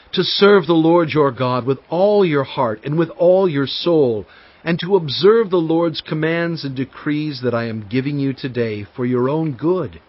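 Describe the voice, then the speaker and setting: An actor playing a solemn prophet in dramatized scripture, exhorting his people in earnest, measured oratory with weighty pauses. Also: a little high, dry recording. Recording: dull studio track over faint music.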